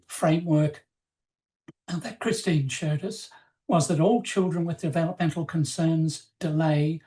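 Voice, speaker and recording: A woman presenting in a webinar, explaining slides in a measured, formal, semi-scripted way. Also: sits low, warm tone, low-quality recording.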